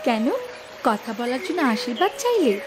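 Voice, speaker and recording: Woman voicing a high-pitched earnest cartoon girl. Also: sing-song, moderate pace, noisy background. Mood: curious, friendly. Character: kind, stubborn young village girl befriending a flower. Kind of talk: Bengali cartoon fable voice acting. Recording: loud music under the voice. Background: music.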